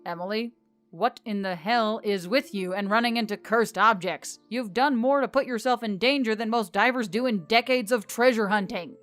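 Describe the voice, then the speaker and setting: A woman's voice: on the high side, normal speed, soft background music, close to an everyday voice. A woman reading as a weary, sardonic fantasy boss. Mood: exasperated. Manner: gruff, derisive, deadpan.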